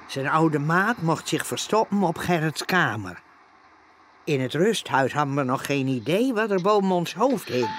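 An actor playing a rest-home resident who narrates the story of a radio play. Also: male voice, a little high, big pitch swings, measured pace, a bit bright, slight background noise. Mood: recounting.

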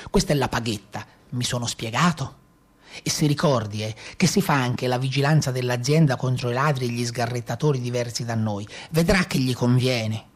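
A man's voice: medium pitch, natural voice. An actor playing an unrepentant brigand leader from the Maremma, telling his outlaw life in a colloquial, matter-of-fact way with a Tuscan accent, in a radio play. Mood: quietly menacing. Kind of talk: theatrical first-person monologue in a radio play.

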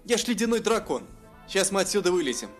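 A clumsy, well-meaning ice dragon, put on by a man reading a story, blurting out flustered exclamations. The voice sits high and swings all over. Faint music runs under it. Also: big pitch swings, fast. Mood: excited. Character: clumsy good-hearted male ice dragon.

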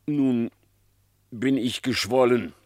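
A man playing a sly, flattering fox who feigns humility, with an unctuous, wheedling manner, in a German radio play. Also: medium pitch, slow, no echo, clean studio sound, lightly acted. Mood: mock humble. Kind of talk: German radio play acting of verse fable.

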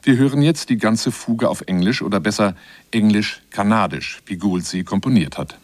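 A man's voice: lowish voice, moderate pace, dry recording. A man reading scripted narration for a German radio feature as its presenter.

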